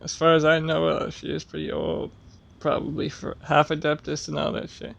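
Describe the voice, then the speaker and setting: A woman's voice: low-pitched, monotone, moderate pace, creaky, muffled recording. A woman giving casual, slangy, laid-back gameplay commentary in muttered asides. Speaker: streamer playing a game. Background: game music.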